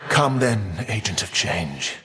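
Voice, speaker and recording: A man playing a weary, defiant, all-knowing Titan in a video game, speaking in a measured, formal, archaic and foreboding way. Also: fairly low pitch, big pitch swings, sharp tone, big-room sound, full character voice. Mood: grave, knowing. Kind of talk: theatrical video game character voice acting.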